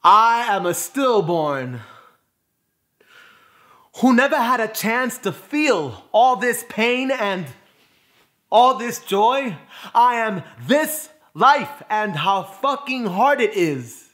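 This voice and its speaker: A man delivers a spoken word monologue in a bright, high voice. His phrasing is deliberate and emphatic, with dramatic pauses, and his pitch moves widely from line to line. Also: expressive.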